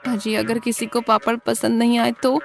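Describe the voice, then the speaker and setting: A high-voiced young-sounding cartoon village wife, acted by a woman narrator, anxious and quick to take offence. She fires off fretful questions over background music. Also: little pitch movement, creaky. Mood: fretful complaining.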